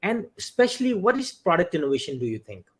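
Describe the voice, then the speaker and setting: Podcast host guy, kinda high voice, formal wording, a bit off-standard. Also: big pitch swings, fast talker. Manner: formal, slightly non-native phrasing. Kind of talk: interview hosting.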